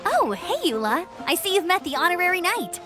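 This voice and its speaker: An easygoing, sociable young knight in an anime-style game. The voice is high and very lively in pitch, upbeat and chatty, with clear music behind it. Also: female voice, fairly quick. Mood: cheerful.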